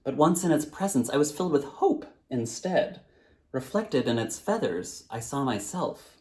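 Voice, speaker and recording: A man's voice: mid-range voice, very animated, normal speed, a little mellow, natural voice. Man reading as a remorseful, earnest neighbor woman. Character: remorseful weeping neighbor woman confessing to theft.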